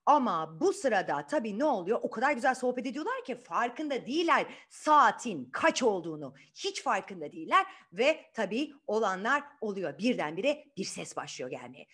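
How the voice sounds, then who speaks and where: A woman telling a story to children in an animated, interactive way, often asking questions and repeating phrases. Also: a little high, normal speed, few pauses.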